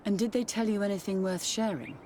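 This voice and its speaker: An actress playing a serious, determined investigator in a fantasy video game, formal and businesslike. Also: female voice, medium pitch, fairly quick, warm tone, a little hiss or hum, lightly acted. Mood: serious, frustrated.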